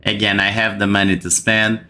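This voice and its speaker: A man's voice: on the low side, monotone, normal speed, pushed and bright. A man from Brazil with a Brazilian accent, hosting a gaming channel and ranting to camera in an exasperated way, repeating words for emphasis. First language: Portuguese. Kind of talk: frustrated gaming rant to camera.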